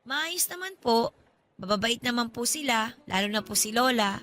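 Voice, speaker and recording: A woman's voice: on the high side, expressive, normal speed, a bit bright. An actress playing a polite, guarded young female college student in a radio drama, speaking with hesitant reserve. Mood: explaining. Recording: music under the voice.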